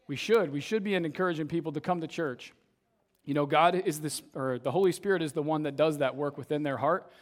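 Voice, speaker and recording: A young adult man, a youth pastor, preaching a casual sermon to a church congregation, his voice slightly raspy from shouting, often ending sentences with "right?". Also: a little high. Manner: conversational, tags sentences with "right?". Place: church service, in front of a congregation.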